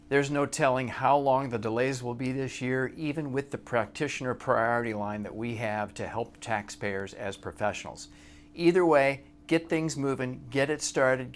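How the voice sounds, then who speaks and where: An American man presenting practical advice as a professional, speaking in emphatic, punchy short phrases in a semi-scripted explanatory style. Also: mid-range voice. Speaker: tax professional and video presenter. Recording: clearly audible background noise and hum.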